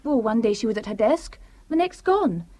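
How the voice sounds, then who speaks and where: High, lively young British flatmate. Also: female voice. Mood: brisk, breezy. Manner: brisk, candid, breezy. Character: breezy young British flatmate, defensive, untidy, candid. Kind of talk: radio drama acting.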